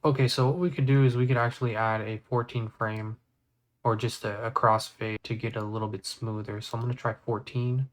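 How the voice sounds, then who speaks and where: Casual mid-pitched man walking through software. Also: little pitch movement, a little fast. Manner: thinking aloud step by step. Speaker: tutorial host. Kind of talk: casual screen-recorded software tutorial.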